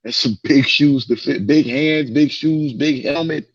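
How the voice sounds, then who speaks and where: A man's voice: on the high side, unhurried, husky, muffled recording. A man co-hosting a sports talk show, losing his voice, emphatic and repeating his points as he gives score updates.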